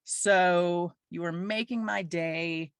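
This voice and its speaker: A woman's voice: fairly low pitch, steady tone, slow, clear, resonant tone, dry recording, clean studio sound. A woman with a Southern American accent, hosting a podcast interview in an upbeat, enthusiastic way. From Virginia and North Carolina, US. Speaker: podcast host. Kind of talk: casual interview chat.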